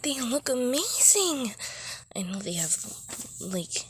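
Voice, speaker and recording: A woman playing a nervous, bubbly young woman in an intimate roleplay audio, with flustered, halting, breathy speech. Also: on the high side, very animated. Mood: excited, flustered. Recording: loud cooking noise behind the voice. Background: kitchen cooking sounds.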